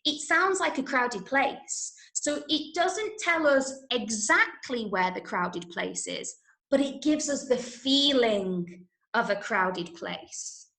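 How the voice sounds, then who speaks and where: A woman with an English accent and a fairly high voice leads an online lesson for schoolchildren. She gives simple step-by-step instructions and encourages pupils by echoing their answers with praise. The sound is noticeably echoey, as in a bare room. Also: expressive, slow, bright, forceful tone. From Manchester, UK. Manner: clear step-by-step instructions to children. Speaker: drama workshop teacher.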